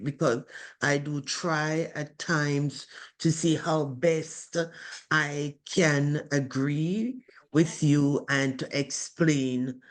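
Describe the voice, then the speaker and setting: A woman with a strong voice, speaking slowly and deliberately with many pauses as a participant in a video call discussion. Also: low voice. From from abroad. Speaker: webinar participant. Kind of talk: reflective group discussion.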